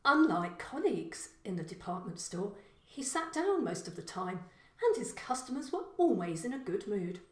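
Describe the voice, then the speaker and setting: A woman reading a short story as scripted narration, in a measured, expressive storytelling manner. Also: mid-range voice, roomy sound.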